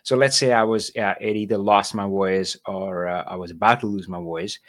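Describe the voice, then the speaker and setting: A man's voice: lowish voice, fairly even intonation, moderate pace, a bit bright. A man hosting a casual interview, enthusiastic and encouraging toward his guest. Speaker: podcast host.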